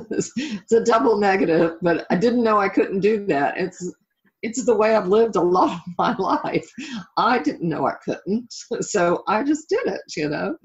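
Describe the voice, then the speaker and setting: A woman's voice: mid-range voice, animated, unhurried, dull audio. A middle-aged woman being interviewed as a life coach and author, talking reflectively and conversationally in an encouraging way.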